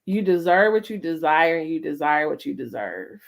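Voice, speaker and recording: A woman's voice: deep voice, lively intonation, normal speed, gravelly. A middle-aged American woman hosting a casual, reflective conversation, speaking emphatically and often checking in with her listener. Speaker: host of a family storytime conversation.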